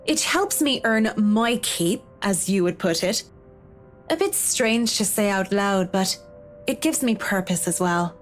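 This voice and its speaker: A woman's voice: medium pitch, animated, measured pace, close to an everyday voice. A woman playing a frank, practical young professional in a visual novel game, dry, blunt and informal, with music behind her. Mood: wry, polite.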